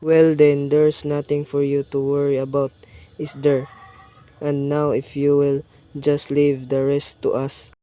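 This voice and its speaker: A man playing a weary, dismissive police desk sergeant in a radio play, talking down to the caller in a flat, patronizing way. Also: fairly high pitch, unhurried, no room sound, close to an everyday voice.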